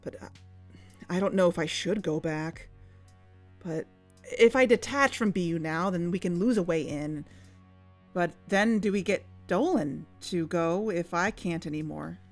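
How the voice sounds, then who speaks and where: Audio drama role: anxious, careful female investigator, on the lower side, naturalistic, music bed. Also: unhurried. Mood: torn. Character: anxious, cautious woman investigator, conflicted and wary.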